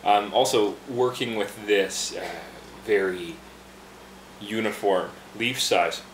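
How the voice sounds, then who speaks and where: Guy behind a tea bar walking you thru brewing, lowish voice, kinda echoey room with noise going on. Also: slow. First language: English. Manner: relaxed, chatty, many fillers and restarts. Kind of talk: casual instructional demonstration.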